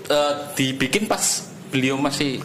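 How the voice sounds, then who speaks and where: A man's voice: medium pitch, a little slow, a bit bright, roomy sound, low-quality recording. A man hosting a podcast interview who listens closely and keeps his guest talking with short questions. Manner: attentive, prompting with short questions. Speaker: podcast host. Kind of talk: casual interview.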